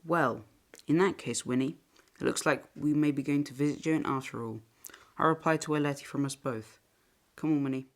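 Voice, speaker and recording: A woman acting in an audio drama as a mild, patient young son in a wartime family, speaking in a measured, placating way. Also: deep voice.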